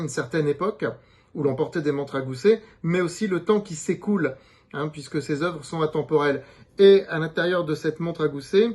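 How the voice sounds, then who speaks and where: A man's voice: a little high, a little mellow. A man giving an unscripted promotional presentation as a publisher's representative, explaining things in a hesitant way.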